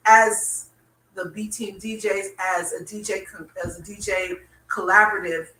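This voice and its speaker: A woman with a Bahamian accent, co-hosting a casual podcast chat and making her points emphatically. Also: medium pitch. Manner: emphatic, opinionated, building to excited peaks. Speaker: podcast co-host, DJ. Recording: roomy echo, mic at a distance.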